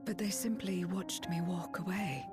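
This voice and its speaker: A woman voicing a guarded, lonely young woman warrior in a game cutscene, speaking in a subdued, breathy half-whisper. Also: on the low side, steady tone, over music, natural voice. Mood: somber. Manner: subdued, reflective, measured. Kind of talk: video game cutscene dialogue.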